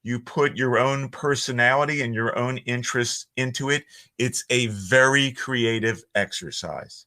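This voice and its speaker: A man from Philadelphia with a clear Philly accent, talking slowly and deliberately. His voice is bright and forward, and he explains his points at length in a friendly, articulate way as a podcast guest. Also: mid-range voice, steady tone. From Northeast Philadelphia. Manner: measured, articulate, chatty. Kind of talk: conversational podcast interview. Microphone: audio-only remote podcast call.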